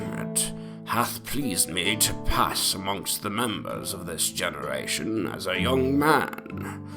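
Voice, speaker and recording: A man playing a very old, self-important English gentleman, reading an ornate antique memoir in a deliberate, pompous storytelling manner. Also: fairly low pitch, gravelly, exaggerated voice. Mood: pompous, measured.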